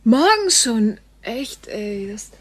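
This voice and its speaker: A fairly high-pitched, down-to-earth woman, played by an actress in a sci-fi radio drama. She talks frankly and colloquially, in halting, spontaneous phrases, friendly and easily astonished. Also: sing-song, slightly rough, no room sound, exaggerated voice. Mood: surprised, flustered. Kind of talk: naturalistic science fiction radio play acting.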